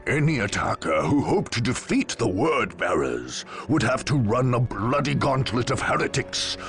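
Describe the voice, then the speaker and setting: An actor playing a grave narrator of a war chronicle in a video game, delivering dramatic scripted lines in a portentous, measured way. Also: male voice, medium pitch, sing-song, vocal fry, a bit bright. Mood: grave, ominous.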